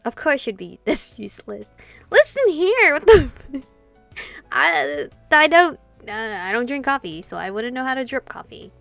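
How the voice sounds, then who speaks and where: A woman hosting a gameplay channel, reading dialogue aloud and commenting in an expressive way, free with swearing. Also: high voice, normal speed, gravelly. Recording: game music behind.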